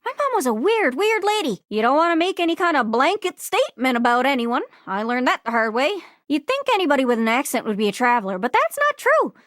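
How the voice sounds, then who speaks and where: A woman voicing an excitable, scatterbrained globe character in a video game, with a folksy Newfoundland accent and a bubbly, exclamatory manner. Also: sits high, quick pace, hardly any pauses, dry recording, clean studio sound. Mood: eager, helpful. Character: excitable, scatterbrained living globe longing to travel.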